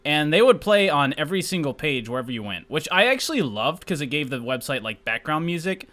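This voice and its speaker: A man with a bright, forceful voice that moves around a lot in pitch. He talks casually and jokily, as a co-host on a chat podcast. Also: sing-song, brisk.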